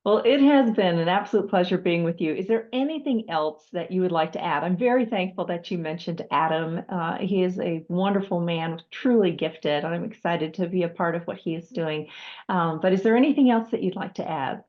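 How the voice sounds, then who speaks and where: A woman hosting a conversational interview, speaking in a polite, measured, appreciative way. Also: lowish voice, dull audio. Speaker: host, author.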